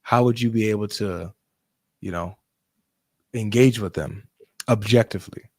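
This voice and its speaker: A man's voice: on the low side, unhurried, darkish tone, breathy edge, dry recording. A man hosting a live debate, asking probing, pointed questions.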